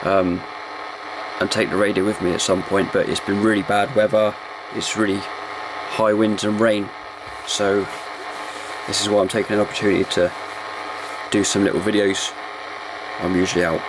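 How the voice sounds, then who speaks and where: Man, low flat voice, informal low-key reviewer, casual chat, noisy recording. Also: normal speed. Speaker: amateur radio reviewer. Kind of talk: casual hands-on product review.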